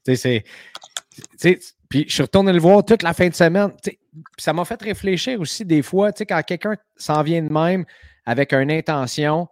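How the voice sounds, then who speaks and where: A man's voice: fairly high pitch, moderate pace, no echo, clean studio sound. A man with a Québécois French accent hosting a podcast, telling stories in a casual chat, full of "tu sais" fillers. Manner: animated storytelling, frequent "tu sais". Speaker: podcast host.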